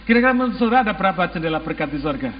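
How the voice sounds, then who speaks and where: A man's voice: sits high, sing-song, racing, slight background noise. A man with an Indonesian accent preaching to a congregation as a pastor, exhorting them emphatically with rhetorical questions and some English phrases mixed in. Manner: mixes English phrases into speech. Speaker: church pastor. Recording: muffled, long hall reverb.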